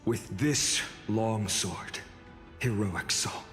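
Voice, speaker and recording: An actor playing a calm, polite young male warrior in a video game, speaking in a measured way. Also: on the low side. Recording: roomy reverb, faint music.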